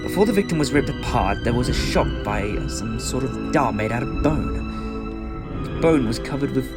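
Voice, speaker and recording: A man acts out an eccentric alien adventurer from an audio drama. The voice swoops up and down theatrically, rambling and hesitating, bold yet apologetic, with loud music underneath. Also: medium pitch, expressive, moderate pace, lightly acted. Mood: pondering.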